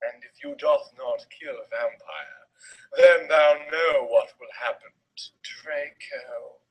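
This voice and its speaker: A man voicing a cruel, commanding dark wizard villain with drawn-out, archaic, imperious speech. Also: medium pitch, pitched below the performer's own voice, rough recording. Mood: cold menace.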